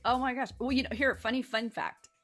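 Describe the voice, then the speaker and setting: A woman's voice: fairly high pitch, fairly even intonation, brisk, a bit bright, soft background music. An American woman hosting a casual comedy talk show, playful and self-deprecating in her banter.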